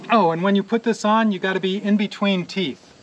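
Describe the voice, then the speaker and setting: A man's voice: high-pitched, fairly even intonation, a little fast, lo-fi sound, faint music behind. A man in his forties casually explaining a sawmill repair as he works outdoors, laughing easily. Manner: chatty, laughs easily, talks while working. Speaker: sawmill owner showing repairs. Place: outdoors in a wooded yard beside a sawmill. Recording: outdoor recording with clear background noise.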